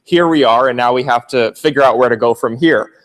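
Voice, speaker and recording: A man speaking on stage as an entrepreneur, with a loud projecting voice, reflecting on lessons from failure. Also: medium pitch, fairly quick. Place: large auditorium stage. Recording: long hall reverb.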